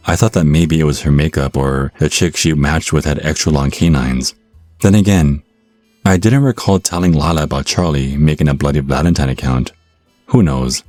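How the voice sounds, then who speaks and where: A man's voice: extremely low pitch, darkish tone, no echo. An actor with an American accent playing an awkward young man on a date in an audio roleplay, apologetic and prone to stammering. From United States. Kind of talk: audio roleplay acting and first-person narration.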